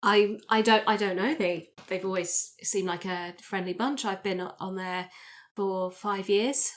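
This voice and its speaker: Woman playing evasive woman, flustered, halting, stammering, mid-pitched, improvised roleplay drama. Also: fairly even intonation, natural voice. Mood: flustered, defensive. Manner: hesitant, stammering, self-interrupting. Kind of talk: improvised tabletop roleplay acting.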